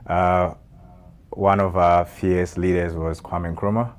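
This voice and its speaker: A Ghanaian man answering interview questions in a measured way, with many fillers and restarts. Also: deep voice, level tone. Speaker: guest, nonprofit founder.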